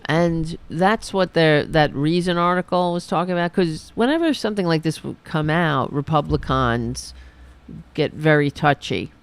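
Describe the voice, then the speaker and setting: A woman hosting a political talk livestream, hoarse after a long show, rambling and sarcastic as she talks to her chat. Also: sits low, normal speed. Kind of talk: casual livestream commentary.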